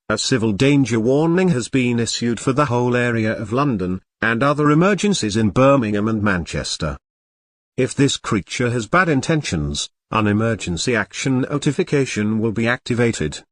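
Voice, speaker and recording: A man playing a government emergency alert announcer, reading a formal, impersonal and deliberate broadcast script. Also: mid-range voice, no echo, natural voice.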